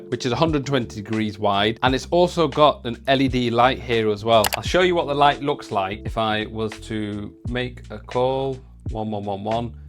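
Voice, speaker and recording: A man giving a casual hands-on product review, chatty, restarting his sentences as he demonstrates equipment. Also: medium pitch. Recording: clear background music under voice.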